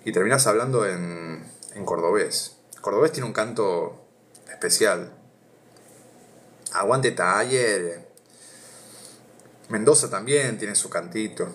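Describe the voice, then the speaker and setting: Low-voiced Argentine man, hesitant live reading. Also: slow pace, long pauses, a bit bright. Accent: Argentine Rioplatense Spanish. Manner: thinking aloud with many hesitations. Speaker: philosophy streamer reading aloud. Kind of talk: casual live reading and chat.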